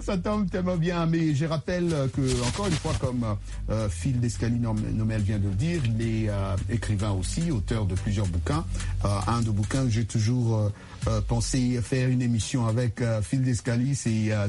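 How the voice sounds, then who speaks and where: A man's voice: mid-range voice, normal speed, warm tone, faint music behind. A man from Congo, a journalist hosting an interview, who stammers and repeats words. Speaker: host, journalist. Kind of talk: interviewing a guest.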